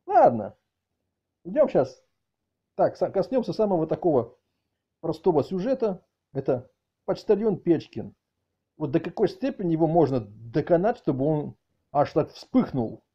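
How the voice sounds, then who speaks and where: A middle-aged man with a husky voice doing a mock-outraged comic rant, halting and repeating words as he exclaims. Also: fairly high pitch, very animated. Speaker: video channel host. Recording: dull lo-fi audio, faint music behind.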